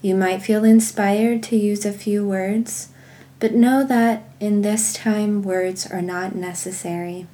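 A woman leading guided contemplative prayer and reading scripture in a measured, meditative manner with pauses. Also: mid-range voice, fairly even intonation, warm tone. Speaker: guided prayer podcast host.

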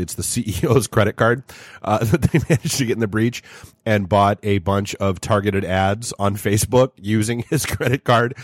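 An American man with a fairly low voice chats as co-host of a tech show. His delivery is informal and amused, with casual swearing. The sound is dry and close, with no room around it. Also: no echo. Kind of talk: casual chat.

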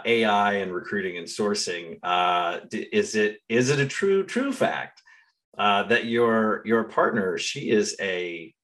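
Casting: male podcast host, slightly low voice, chatty and easygoing. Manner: chatty, lively, with frequent filler sounds. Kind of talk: casual interview chat.

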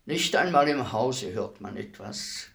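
An actor playing a calm, implacable executioner in a radio play, speaking in a measured, politely formal, unperturbed manner. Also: male voice, medium pitch, gravelly. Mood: matter-of-fact. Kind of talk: theatrical radio play acting.

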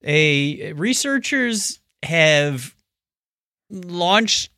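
A man's voice: high-pitched, lively intonation, extremely slow, sharp tone, no room sound, studio quality. A man hosting a casual podcast, retelling odd news stories in a wry, bantering way. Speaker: podcast host.

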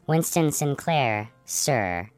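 A woman playing a small, polite robot with a flat synthesized voice and formal, stilted phrasing in a comedy audio story. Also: extremely low pitch, extremely slow, faint music behind, full character voice. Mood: formal, apologetic.

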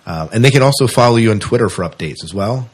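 A man's voice: on the low side, lively intonation, quick pace, breathy edge. A man hosting a podcast in casual interview chat, informal and hesitant, often repeating and rephrasing himself. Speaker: podcast host.

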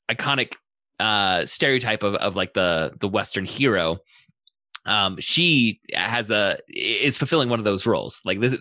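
A man hosting a casual interview, speaking haltingly as he thinks his questions through aloud. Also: on the low side, pushed and bright, no echo, studio quality. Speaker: podcast host.

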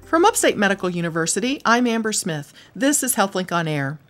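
A woman hosts a radio health interview show and keeps it moving with brisk, short prompts. Her questions and acknowledgments are quick and to the point. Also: medium pitch, sing-song, fairly bright tone, faint music behind. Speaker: radio talk show host.

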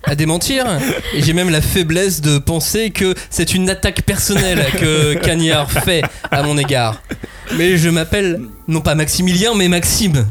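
A young man hosting a panel podcast, lively and jokey with theatrical emphasis. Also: fairly high pitch, moderate pace. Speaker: host.

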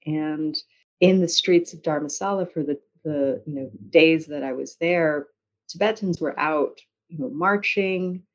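A woman with an American accent telling her story conversationally as an interview guest, in a measured way with frequent pauses. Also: low voice. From United States. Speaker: interview guest, journalist and author. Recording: dull, lo-fi audio.

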